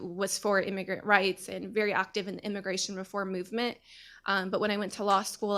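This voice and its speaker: A woman in her thirties moderating a panel discussion, speaking in an earnest, measured way with frequent pauses. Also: mid-range voice, fairly bright tone. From United States.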